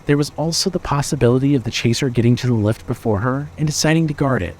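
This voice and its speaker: A man's voice: mid-range voice, fast talker, darkish tone. Man narrating a dramatic story over noise.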